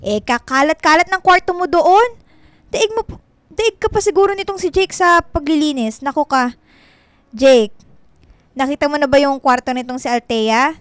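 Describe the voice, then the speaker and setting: A woman's voice: high-pitched, moderate pace, no room sound, studio quality, lightly acted. A woman playing a blunt, nosy middle-aged mother in a radio drama, teasing and probing emphatically. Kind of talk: Filipino radio drama acting.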